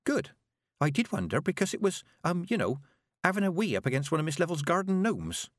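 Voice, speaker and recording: A man's voice: fairly high pitch, big pitch swings, brisk, no echo, clean studio sound, pitched above the performer's own voice. A man voicing a nervous, stammering young witch girl, full of little ums and flustered breaths.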